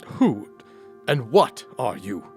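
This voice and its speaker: A man's voice: mid-range voice, very animated, slow, vocal fry, darkish tone, faint music behind, full character voice. An actor playing a wary, grumbling old miser man in an audio drama. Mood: uneasy, questioning.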